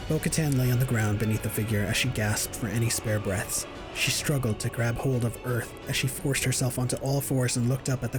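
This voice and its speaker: A man narrates an action audio drama in a mid-pitched voice. His delivery is scripted and dramatic, in the manner of an audiobook. A musical score plays clearly behind him.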